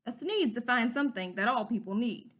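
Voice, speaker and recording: A greedy storybook businessman brags and pitches his wares in a high, lively voice, as a woman reading aloud puts him on. He sounds brash and salesy, eager to talk up what he sells. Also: brisk. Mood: boastful. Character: greedy boastful male businessman.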